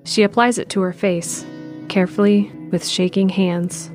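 A woman narrating an audio drama in a calm, measured, descriptive way. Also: medium pitch, faint music behind. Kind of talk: scripted narration.

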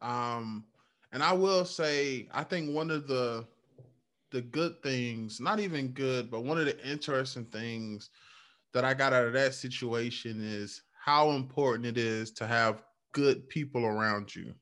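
A man's voice: mid-range voice, a little slow, a bit bright. Reflective male podcast co-host. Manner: reflective, many "um"s and "right?" check-ins. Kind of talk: casual podcast chat.

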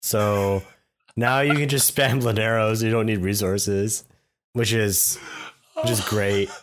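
A man's voice: lowish voice, fairly even intonation, slow pace, a bit bright. A man co-hosting a casual gaming podcast, talking unhurriedly and chuckling as an enthusiastic fan. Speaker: podcast co-host. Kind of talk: casual chat about game patch notes.

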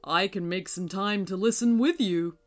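A woman voicing a kindly old male moon in a children's story, polite and gently musing. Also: mid-range voice, normal speed, soft background music, close to an everyday voice. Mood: gentle, awed.

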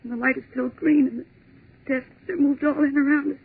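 A woman's voice: high voice, steady tone, a little fast, gravelly. An actress playing a terrified young office woman, pleading frantically, in 1940s radio acting style. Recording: tinny 1940s radio broadcast.

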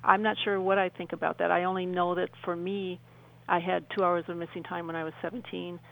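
Gravelly-voiced woman giving an earnest personal account. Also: fairly low pitch, little pitch movement, fairly quick, rough recording. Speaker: radio show guest.

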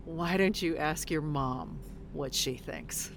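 Woman acting blunt, weary low-voiced female ex-con. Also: takes it slowly, music in the background. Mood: earnest. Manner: blunt, sweary, exasperated. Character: blunt, weary middle-aged woman ex-convict named Constance. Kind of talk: audio drama acting.

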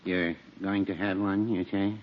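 An American man acting a mild, henpecked older druggist in a 1940s radio comedy, deadpan, hesitant and understated. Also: low voice, measured pace. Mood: deadpan, obliging. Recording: muffled, noisy 1940s radio recording.

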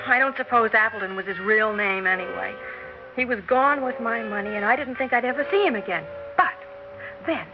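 Actress as a high-voiced, determined would-be star. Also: female voice, close to an everyday voice. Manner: dramatic, insistent storytelling. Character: determined, excitable young would-be actress, a woman. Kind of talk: 1960s radio drama acting. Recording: dull old radio broadcast, music behind.

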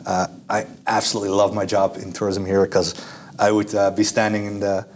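Hesitant Dutch man, lowish voice. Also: completely flat delivery, brisk, a little hiss or hum. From Netherlands. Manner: hesitant, many fillers and restarts. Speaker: guest. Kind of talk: casual interview answers.

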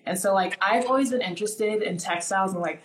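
A woman's voice: medium pitch, fast, roomy sound, poor audio. A woman in her twenties, born in Nigeria and raised in the US, talking casually as an interview guest, with lots of "like" fillers. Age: 20s. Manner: chatty, many "like" fillers.

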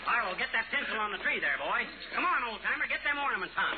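High-pitched, blustery, bossy husband. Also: male voice, big pitch swings, fast. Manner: brash, folksy, impatient. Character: blustery, bossy, self-important small-town husband. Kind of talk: 1940s-50s radio comedy acting. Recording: tinny, very noisy old radio recording.

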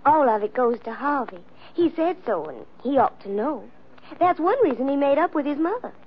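An actress playing a sweet, fretful young Southern belle with an American Southern accent in a 1940s radio drama. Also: female voice, sits high, sing-song, moderate pace. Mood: sweetly fretful. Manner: gushing, coy, effusive. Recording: dull, noisy old radio recording.

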